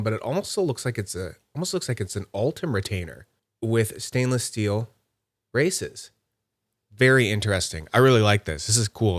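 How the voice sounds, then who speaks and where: Male reviewer, slightly low register, dry close sound. Casual and upbeat, thinks aloud while unboxing. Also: dry recording. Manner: chatty, thinks aloud while handling items; nerdy and upbeat. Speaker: YouTube fidget toy reviewer.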